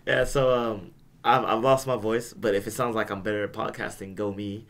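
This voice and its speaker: Hoarse, gravelly young male podcast host. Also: lowish voice, level tone, normal speed, a bit bright. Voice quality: raspy. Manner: laid-back, self-deprecating banter. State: hoarse, lost voice. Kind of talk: casual podcast chat.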